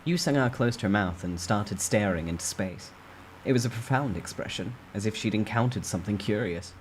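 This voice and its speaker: A man reading a novel aloud as a storyteller, with expressive, theatrical emphasis in a dramatic first-person narration. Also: on the low side, normal speed, a little hiss or hum, low-quality recording.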